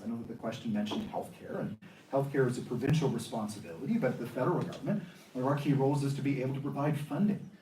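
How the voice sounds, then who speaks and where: A man gives a measured, explanatory campaign answer in a dark, relaxed voice. He lays out points like a lecturer. The recording is echoey and a little distant, with faint noise. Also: medium pitch. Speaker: political candidate and economist.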